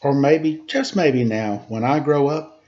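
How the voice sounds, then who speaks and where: A man's voice: mid-range voice, measured pace, a little mellow. A man speaking as a teacher at a children's story time, with a playful, wry, conversational manner. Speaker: teacher reading aloud to children. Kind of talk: picture-book story time, casual chat. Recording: dull, lo-fi sound.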